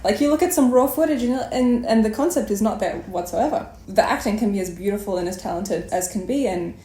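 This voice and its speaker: Mellow woman host in an echoey room. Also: medium pitch, expressive, brisk. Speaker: interview host. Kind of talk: conversational interviewing and commentary. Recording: roomy, echoing sound.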